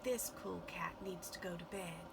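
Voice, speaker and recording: A woman's voice: on the low side, fairly even intonation, normal speed, creaky. A woman voicing a laid-back, groovy male cat from a children's storybook, in a noisy recording. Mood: weary.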